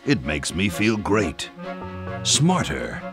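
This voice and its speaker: A man's voice: low voice, very animated, slow, music in the background, full character voice. A man voicing a grandiose, menacing tentacle creature in a cartoon adventure game. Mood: megalomaniac, swelling. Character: purple tentacle, megalomaniac cartoon creature turning evil.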